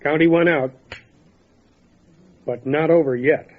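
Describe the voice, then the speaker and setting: An actor playing a calm, methodical small-town lawman with a serious, measured way of questioning, in old-time radio drama style. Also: fairly high pitch, phone-quality sound, heavy background noise. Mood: steady, pensive.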